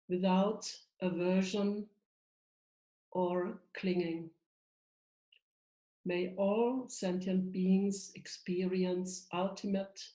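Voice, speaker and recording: A woman, not an English speaker, reciting a calm, measured blessing over a video call. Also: mid-range voice. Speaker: guest giving a blessing. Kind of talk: slow recited prayer. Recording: echoey room.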